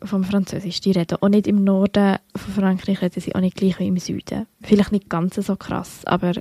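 A young Swiss woman with a Bernese accent, chatting casually as a podcast co-host. She talks in loose, rambling sentences, often correcting herself midway. Her voice sits at a middle pitch and sounds dry and close. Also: little pitch movement, fairly quick, mellow, husky, dry recording. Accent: Bernese Swiss German. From Switzerland. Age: young adult. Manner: chatty, many fillers and self-corrections. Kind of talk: casual literary podcast chat.

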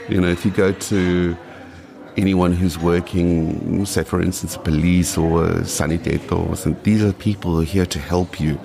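A South African man in his late forties with a very low, gravelly voice. He talks casually and chattily as an interviewee, restating phrases for emphasis, over audible background chatter. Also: steady tone, moderate pace. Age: late 40s. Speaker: podcast guest.

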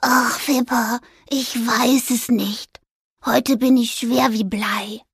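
A woman voicing a small, gentle ghost in a storybook, speaking softly, breathily and hesitantly. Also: on the high side, steady tone, takes it slowly, no room sound, studio quality, heavily acted. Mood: sad. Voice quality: breathy, whispery. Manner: soft, downcast and hesitant.

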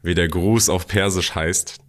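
A man's voice: low voice, steady tone, unhurried. A man who grew up in Germany, talking casually as a podcast co-host.

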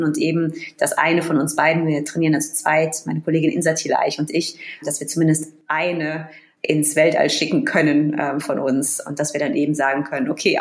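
A woman in her thirties, raised bilingual in German and English, telling her story as a guest in a casual interview with lively, animated energy and many fillers. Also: low voice, echoey room. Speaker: guest, astrophysicist in astronaut training.

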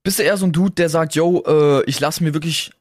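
Young male co-host, voice a bit on the high side, very dry sound. Slangy, ironic, jokey chat. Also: steady tone, quick pace, dry recording. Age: young adult. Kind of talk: casual chat with slangy banter.

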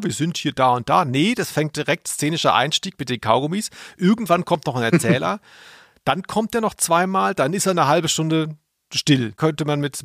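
A man's voice: fairly high pitch, fairly bright tone, no room sound, studio quality. A man co-hosting a podcast with casual, joking commentary on audio plays. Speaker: podcast co-host.

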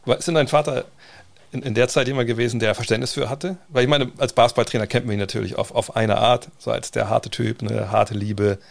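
Fast-talking gravelly male host. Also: medium pitch, steady tone. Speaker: podcast host. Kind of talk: casual interview questions.

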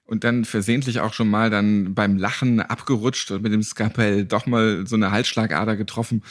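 A man's voice: fairly low pitch, steady tone, normal speed. A man hosting a casual interview podcast with a jokey, irreverent way of talking. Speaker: podcast host. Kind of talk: casual joking interview and sign-off.

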